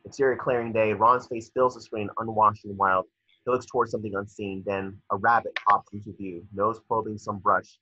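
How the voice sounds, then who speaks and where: A man's voice: on the low side, little pitch movement, moderate pace, sharp tone, breathy edge. A man reading stage directions aloud as narrator at a table read, now and then stumbling over words. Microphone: video call. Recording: dull lo-fi video call audio.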